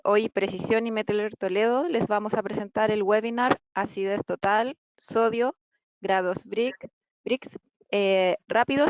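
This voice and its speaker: A woman's voice: medium pitch, no echo. A woman opening and hosting a technical webinar, polite and welcoming, with brief fillers. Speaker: webinar host. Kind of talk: formal webinar introduction. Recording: dry, muffled lo-fi webinar audio.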